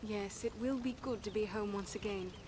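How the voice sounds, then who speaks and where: An actress playing a calm, learned female elf in a fantasy game, speaking in formal, measured, solemn phrases. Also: medium pitch, noisy background, natural voice. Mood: grave concern.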